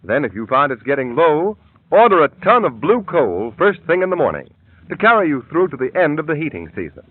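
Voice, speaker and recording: A man's voice: on the high side, big pitch swings, a little fast, husky, no room sound. A man announcing in emphatic, polished 1930s radio style, reading scripted ads and narration. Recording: tinny, dry vintage radio broadcast.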